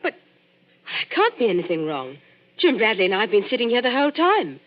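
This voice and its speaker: A flustered young companion. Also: female voice, a little high, sing-song, brisk. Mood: earnest. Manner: breathless, flustered, earnest. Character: anxious young woman companion to an actress. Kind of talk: 1940s radio acting. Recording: dull old radio broadcast recording.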